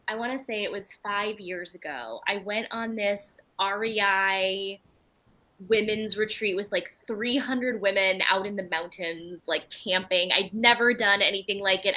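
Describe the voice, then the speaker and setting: A woman's voice: mid-range voice, pushed and bright. A woman from Belgium whose first language is French, a writer and retreat leader answering interview questions in an earnest, candid and reflective way.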